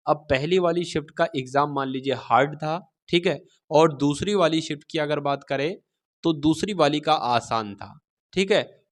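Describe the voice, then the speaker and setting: Higher-pitched man explaining notices. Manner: didactic, repeats and paraphrases, checks in with listeners. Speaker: exam-prep instructor and channel host. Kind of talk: explanatory notice read-through for students.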